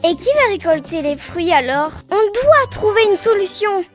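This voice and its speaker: Very high, eager cartoon little girl. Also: expressive, normal speed. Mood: eager, determined. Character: bright, take-charge little girl in a baby cartoon. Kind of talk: French cartoon dubbing. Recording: music behind the voice.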